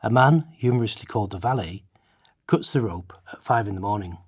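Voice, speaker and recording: A man playing a grave writer from past centuries, giving a measured, formal dramatised reading in a radio play. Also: on the low side, lively intonation, a little mellow, husky, dry recording, clean studio sound. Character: grave male social writers of past centuries chronicling poverty.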